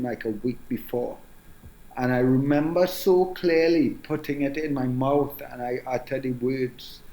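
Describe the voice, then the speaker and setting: A man's voice: medium pitch, darkish tone. Reflective Trinidadian man in an echoey room. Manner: reflective, unhurried, frequent "um" and "you know". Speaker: author guest. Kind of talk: casual interview conversation.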